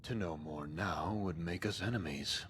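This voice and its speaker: Game villain: cold zealot overlord, deep register, grandiose archaic delivery, faint rumbling noise behind. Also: male voice, fairly bright tone, long reverb tail. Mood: cold, threatening. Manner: pompous, archaic, deliberate speech. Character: cold menacing zealot overlord of a post-apocalyptic cult. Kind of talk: scripted game dialogue, dramatic monologue.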